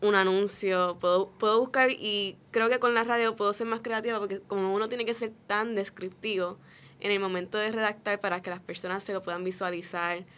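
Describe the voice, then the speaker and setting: A woman's voice: mid-range voice, steady tone, brisk, a bit bright. A young woman giving straightforward, explanatory answers as a guest in a conversational interview. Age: young adult. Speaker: interview guest, radio production student.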